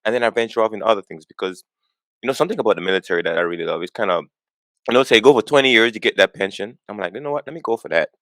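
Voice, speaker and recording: A man's voice: on the low side, very fast, a bit bright, dry recording. A man in his forties, from Jamaica, talking earnestly as a podcast guest and repeating words as he gets going. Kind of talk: conversational interview.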